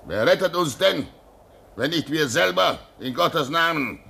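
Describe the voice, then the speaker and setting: A man's voice: fairly high pitch, expressive, unhurried, gravelly, pushed and bright, breathy edge, full character voice. An actor in a German radio play, playing a pious, fatherly older polar researcher who is earnest, soothing and admonishing. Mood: admonishing, pleading.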